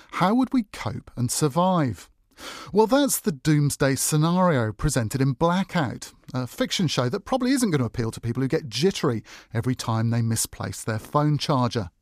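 A man's voice: mid-range voice, a little mellow, no room sound, clean studio sound. A man presenting a scripted review in a narrated style.

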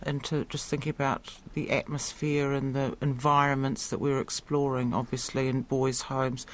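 A man speaking as a theatre director in an interview, reflective, searching for phrases in a halting way. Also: medium pitch, level tone, fairly bright tone.

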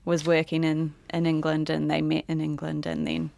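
A woman's voice: deep voice, monotone, moderate pace, vocal fry, a little mellow, no echo. A woman, a journalist chatting through interview answers and restarting phrases as she goes. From Wales. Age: 20s.

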